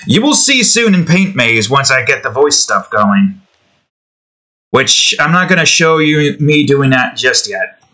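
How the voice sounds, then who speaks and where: A man's voice: mid-range voice, very animated, measured pace, bright, forceful tone. A young man giving rambling, casual commentary to himself while drawing, now and then testing out voices, recorded on a desk mic in a small room at home. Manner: rambling, self-talk, trying out voices. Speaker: art video creator.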